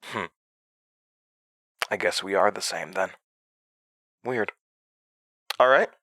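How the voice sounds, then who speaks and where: A man's voice: low-pitched, slow, sharp tone, no echo, studio quality, full character voice. A man in a horror audio drama playing a smug, menacing entity that speaks through a young man's voice, mocking and condescending. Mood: sly, conceding.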